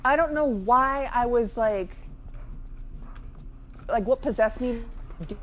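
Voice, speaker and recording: A woman with a fairly deep voice for a woman, chatting and telling stories over gameplay and laughing often. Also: takes it slowly, highs cut off, slight background noise. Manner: rambling storyteller, laughs often. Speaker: co-host. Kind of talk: casual chat over gameplay.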